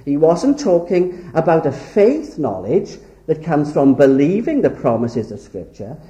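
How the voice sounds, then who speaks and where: A man preaching in an echoey hall, his voice mellow and dark yet swinging widely in pitch. He speaks earnestly, stretching out key words for emphasis. He grew up in Wales. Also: sing-song, measured pace, highs cut off. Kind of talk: sermon lecture.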